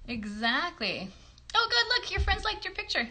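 A woman's voice: very high voice, big pitch swings, moderate pace, pushed and bright. A woman in her thirties chatting encouragingly with children as a puppet show host, with simple questions and praise. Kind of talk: casual, encouraging chat with children. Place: bedroom at home. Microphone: phone a short distance away.

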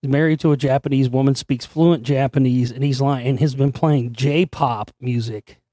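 A middle-aged man talks his way through a solo broadcast with a hoarse, worn-out voice that is only half back. His tone is dark and mellow, and the sound is dry and close, with no room around it. He rambles loosely, full of fillers and the odd swear word. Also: medium pitch, fairly even intonation, moderate pace, dry recording. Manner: rambling, conversational, frequent fillers and mild swearing. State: hoarse, voice recovering after straining it. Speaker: radio show host. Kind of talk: casual solo talk show monologue.

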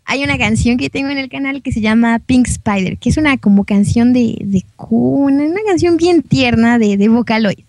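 A young woman chats as a guest on a gaming show. Her voice is fairly high and sounds dry and close, with an informal, giggly way of telling stories. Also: moderate pace, hardly any pauses, a little mellow, no echo. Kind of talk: casual chat, telling anecdotes.

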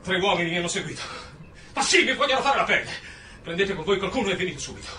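An actor playing an agitated young police commissioner in a radio drama, urgent, halting and repeating himself. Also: male voice, sits high, expressive, bright, forceful tone, a little hiss or hum. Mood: panicked, urgent.

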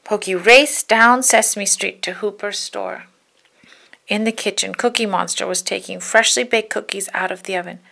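Woman, children's storybook narrator, crackly fry in the voice, medium pitch, expressive and deliberate delivery. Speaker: storybook read-aloud narrator.

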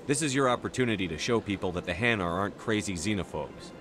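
Guy playing composed army commander in a game, lowish voice, talks fast, loud background noise. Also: lively intonation, natural voice. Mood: firm. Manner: direct, assured. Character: direct, composed male military commander. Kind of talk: video game acting.

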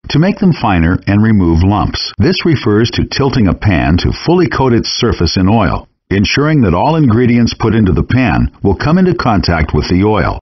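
A man reading calm, scripted cooking instructions as a game narrator. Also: on the low side, breathy edge, muffled recording.

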